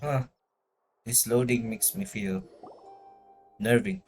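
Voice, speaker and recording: A man's voice: medium pitch, big pitch swings, takes it slowly, creaky, darkish tone. A man giving casual live commentary as he streams a game. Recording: game music behind.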